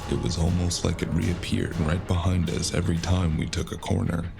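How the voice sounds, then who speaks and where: A man with a gravelly voice narrating a scripted first-person story in a gritty, dramatic way. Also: sits low, level tone, moderate pace. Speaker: narrator of a cyberpunk audio drama. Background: loud music.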